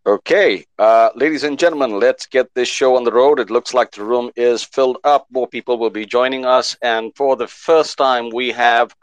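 A man's voice: medium pitch, moderate pace, hardly any pauses, smooth. Upbeat male show host, repeating himself. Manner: energetic, repeats words for emphasis. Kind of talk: live online panel hosting.

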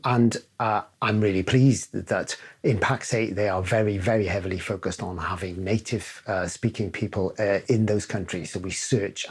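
A man's voice: low-pitched, husky. A man with an English and Welsh accent, a guest in an interview, talking casually with many fillers and restarts. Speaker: guest, security director. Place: studio in London.

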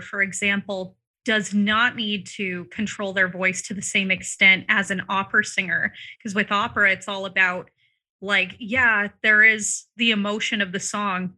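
A woman with a very bright, forward voice talks casually about singing and vocal health. She is loose and chatty, full of "like" and "um." Her throat sounds a little dry, and she clears it from time to time. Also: mid-range voice. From Arizona, US. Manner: chatty, many fillers like "like" and "um". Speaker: vocal coach guest. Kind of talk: casual podcast conversation.

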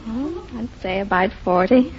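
A woman's voice: a little high, expressive, moderate pace. A woman in her twenties from Belfast, a radio quiz contestant giving short, plain answers. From Belfast, Northern Ireland. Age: 20s. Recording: muffled noisy old radio recording.

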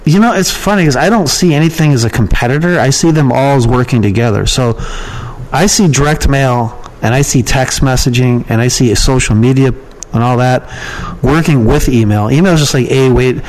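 A man's voice: medium pitch, a little fast, darkish tone, rough recording. A man giving casual, rambling and frank answers as an opinionated guest in an interview. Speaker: podcast guest, email marketer and copywriting trainer.

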